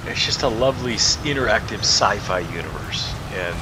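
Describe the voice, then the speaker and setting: Low-voiced man chatting over loud game noise. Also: animated, moderate pace. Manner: rambling, chatty, talking to game creatures. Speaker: gaming video host. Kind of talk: casual game playthrough commentary. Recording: loud background noise under voice.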